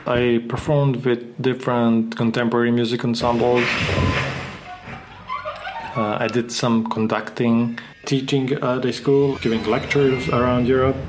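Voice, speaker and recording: A man's voice: medium pitch, little pitch movement, measured pace, noisy background. A man from Serbia, an accordion player, talking plainly about his career. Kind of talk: interview excerpt in a sound collage. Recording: over loud electronic music.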